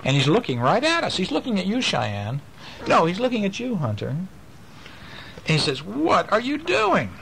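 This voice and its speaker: A father reading bedtime stories aloud to his children, gentle and animated, pausing to ask them about the pictures. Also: sits high, creaky, slight background noise. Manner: gentle, animated storytelling with questions to the kids.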